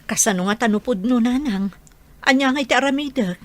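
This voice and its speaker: A woman's voice: on the high side, brisk, natural voice. A woman playing a stern, worried middle-aged mother, scolding and questioning in an amateur audio drama. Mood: troubled.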